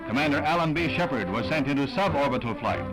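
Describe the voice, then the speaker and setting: A man's voice: fairly high pitch, animated, fast talker, low-quality recording. An American man reading scripted 1960s newsreel narration in a dramatic, solemn, declamatory manner, on a vintage film soundtrack. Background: orchestral newsreel music.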